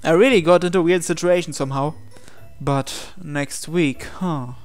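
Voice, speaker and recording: Low-voiced woman reading game dialogue. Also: normal speed, darkish tone, faint music behind. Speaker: game player reading the hero's dialogue aloud. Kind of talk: reading translated visual novel text aloud.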